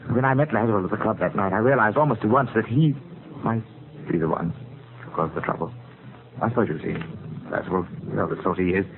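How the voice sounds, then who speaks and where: An actor playing a proud, brooding, touchy music-hall ventriloquist in a vintage radio drama, speaking haltingly and intensely. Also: male voice, lowish voice, natural voice. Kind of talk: 1940s radio drama acting. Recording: noisy, tinny vintage radio recording.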